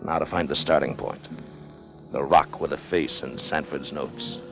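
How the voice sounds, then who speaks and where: An actor in a 1950s radio drama playing a hard, greedy ship's officer, commanding, with old-fashioned seafaring speech. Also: male voice, a bass voice, steady tone, unhurried. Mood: brooding, scornful. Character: hard, scheming, greedy sailing-ship officer leading a treasure hunt. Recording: 1950 radio broadcast with music underneath.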